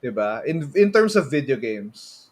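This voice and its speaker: A man's voice: mid-range voice, very animated, measured pace. A man with a Filipino accent hosting a casual podcast, mixing Tagalog particles into his English. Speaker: podcast host.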